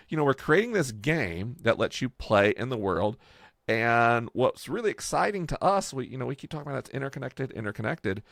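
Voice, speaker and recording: A man's voice: lowish voice, vocal fry, dry recording, studio quality. A man talking casually and conversationally as a podcast host, explaining his project. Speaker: podcast host and game creator.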